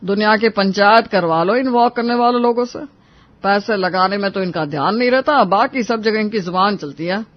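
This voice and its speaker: Man voicing a high-pitched, domineering old father-in-law. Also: normal speed, close to an everyday voice. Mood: irritated. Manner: indignant, domineering. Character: stern, scolding older father-in-law who lays down rules. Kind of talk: Hindi animated moral story dialogue. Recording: dull, lo-fi audio.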